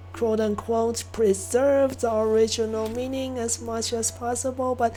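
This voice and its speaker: Young woman w chinese accent streaming, slow mellow talk, rambly, faint game music under. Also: on the high side. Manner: rambling, many fillers and soft laughs. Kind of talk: casual stream chat.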